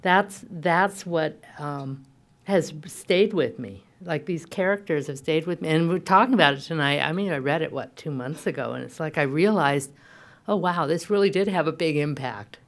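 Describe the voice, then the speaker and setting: A woman's voice: sits low, normal speed. A middle-aged woman hosting an event, giving spoken remarks to an audience and feeling her way through restarted phrases. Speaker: event host. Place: at a podium on an auditorium stage. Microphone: podium mic.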